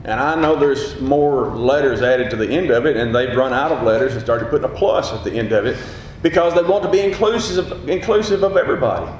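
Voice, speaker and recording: A man with a gruff, booming voice preaches with passion, pushing hard on his key points. His voice rings through a very reverberant room, and a faint low rumble of noise sits underneath. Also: a little fast. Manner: passionate, emphatic preaching with rising peaks. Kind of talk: sermon. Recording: very echoey hall.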